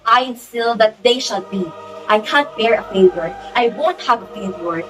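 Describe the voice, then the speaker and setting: A woman's voice: on the high side, animated, normal speed, close to an everyday voice. A young woman playing an imperious, easily outraged society hostess and domineering mother in an amateur stage play, with a haughty, melodramatic delivery. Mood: haughty, furious. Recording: distant mic under music.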